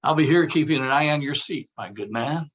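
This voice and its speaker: A man's voice: fairly high pitch, fairly quick, lo-fi sound, lightly acted. A man playing a casual, evasive buddy in a script table read. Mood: breezy. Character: casual, evasive male buddy with a dark streak.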